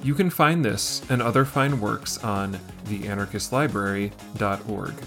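A man's voice: fairly low pitch, normal speed, fairly bright tone. A man presenting a podcast reading segment, reading a scripted introduction and essay aloud. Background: guitar music.

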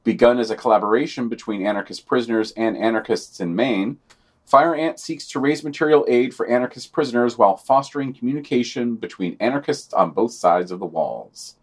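A man's voice: mid-range voice, a little fast. Wry, dry man reading podcast news.

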